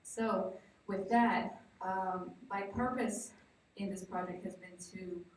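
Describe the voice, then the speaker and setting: A woman from the southwestern United States giving an informal, explanatory conference presentation as a project researcher, piecing her thoughts together with pauses. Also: mid-range voice, steady tone. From Navajo Nation, southwestern United States. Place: large echoey indoor presentation room. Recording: very echoey room, distant mic, faint noise.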